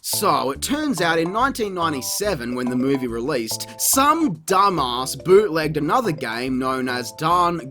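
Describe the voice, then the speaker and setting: A man's voice: a little high, pushed and bright. A man giving comedic, partly scripted video review commentary in an exclamatory, sarcastic, crude way. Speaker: video game reviewer, host.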